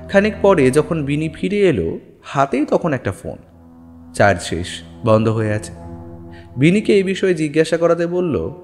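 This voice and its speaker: A man narrates a ghost story with dramatic, lively intonation. His voice is on the high side, carried in long reverb with music underneath. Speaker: audiobook narrator. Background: background music.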